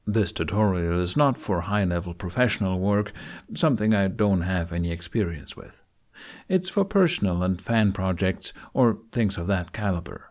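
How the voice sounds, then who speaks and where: A man with a fairly low voice presents a how-to voice-over. He speaks in a frank, conversational way, following a script but keeping it informal. It is recorded on a budget USB microphone in a living room. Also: a little mellow. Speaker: tutorial presenter. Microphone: budget USB microphone on an arm.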